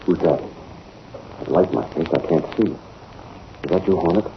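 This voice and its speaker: A man's voice: low-pitched, normal speed. An actor playing a crooked, rattled man being blackmailed in an old radio crime drama. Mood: desperate. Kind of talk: 1930s-40s radio crime drama acting. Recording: muffled, noisy old radio recording.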